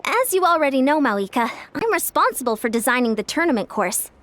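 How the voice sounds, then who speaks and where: A woman's voice: high-pitched, very animated, brisk, a bit bright, slight background noise. A woman playing an upbeat, confident young course designer in a video game, explaining things in a self-assured way. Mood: friendly, eager. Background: music.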